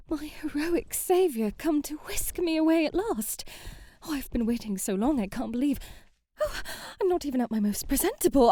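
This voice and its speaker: A woman's voice: high voice, dark tone, no room sound, full character voice. A woman playing a sheltered, romantic young princess in an ASMR audio drama, with flowery, theatrical storybook phrasing. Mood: breathless, giddy. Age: young adult. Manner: theatrical, flowery, prim storybook phrasing, sighing, often half-whispering.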